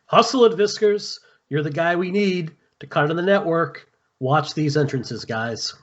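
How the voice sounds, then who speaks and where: A man's voice: on the high side, measured pace, dull audio. A man playing a brusque, commanding squad leader in an amateur table read of a horror film script.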